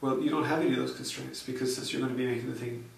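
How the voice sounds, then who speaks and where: A man's voice: mid-range voice, fairly even intonation, rapid-fire, vocal fry. A man answering interview questions in a hesitant, conversational way, with many fillers and restarts. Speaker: interviewee, software researcher. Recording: echoey room, distant mic, faint noise.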